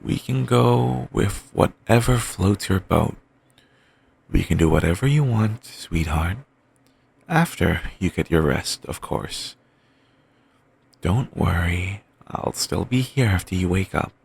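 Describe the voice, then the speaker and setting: A man voicing an obsessive, doting young boyfriend, rambling softly in a bedtime comfort roleplay. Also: sits low, animated, slow, gravelly, husky, no echo, full character voice. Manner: soft, rambling, affectionate murmuring.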